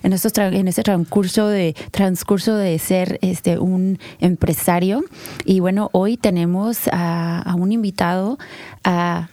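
A woman co-hosting a radio show, chatting casually and encouraging with quick interjections. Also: on the low side, steady tone, mellow, no room sound. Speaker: radio co-host.

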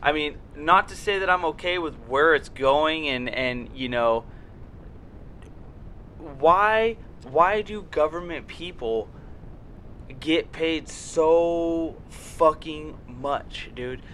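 A man with a bright, forceful voice talks loosely on a podcast, circling back on himself with "dude" and "you know". He swears freely. A faint hiss and rumble sit under the recording. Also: slow pace. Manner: rambling, profane, repeats filler words. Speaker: podcast host. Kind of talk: casual podcast chat.

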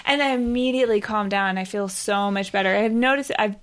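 A woman's voice: medium pitch, brisk. A woman hosting a casual conversation, chatty and rambling, laughing often. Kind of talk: casual chat.

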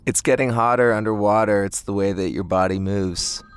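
Low-voiced man chatting in a dry space. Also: steady tone, normal speed, clear, resonant tone, no echo. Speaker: interview guest, indie band frontman. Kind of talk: casual interview chat. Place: inside a parked tour van.

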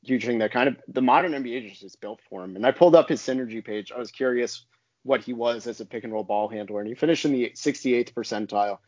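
A man's voice: mid-range voice, fast, darkish tone, no room sound. A man with a mid-range voice gives casual basketball analysis in a loose, conversational way, with plenty of "I mean" and "like". The recording is dry and close, with a slightly dull, lo-fi sound. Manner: conversational, many fillers like "I mean" and "like". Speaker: basketball podcast co-host. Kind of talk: casual sports analysis chat.

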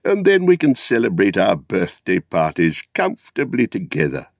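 Man as a low-voiced, genial elderly hobbit gentleman. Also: wildly swooping pitch, normal speed, gravelly, dry recording, studio quality, full character voice.

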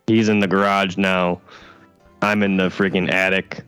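A man playing the guest in improvised comedy banter, deadpan and matter-of-fact, congested with a cold. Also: low-pitched, measured pace, clear, resonant tone, faint music behind.

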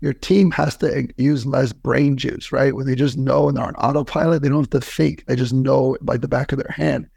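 Hoarse, scratchy-voiced man hosting a podcast. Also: medium pitch, steady tone, moderate pace, few pauses, darkish tone, no room sound, studio quality. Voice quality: scratchy, gravelly. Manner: motivational, upbeat, coach-like. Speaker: podcast co-host. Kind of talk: energetic podcast intro and coaching talk.

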